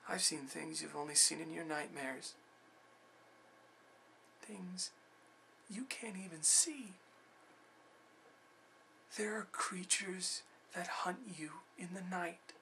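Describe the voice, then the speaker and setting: A man's voice: lowish voice, unhurried, creaky, sharp tone, a little hiss or hum, voice pushed down, full character voice. A man performing a film monologue as a haunted, fearful jungle survivor, intense and ominous as he warns newcomers. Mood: haunted, hushed. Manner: ominous, urgent warning.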